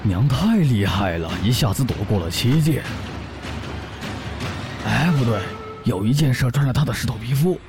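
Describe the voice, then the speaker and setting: Man playing an animated young orc husband. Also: mid-range voice, normal speed, music in the background, close to an everyday voice. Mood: amazed. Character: eager, placating young orc husband, son-in-law. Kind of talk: audio drama acting with running commentary.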